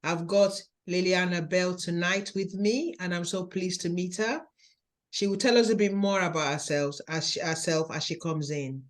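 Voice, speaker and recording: A woman with a Nigerian accent hosting a podcast interview, speaking in a slow, measured, encouraging way. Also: fairly low pitch, steady tone. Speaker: podcast host.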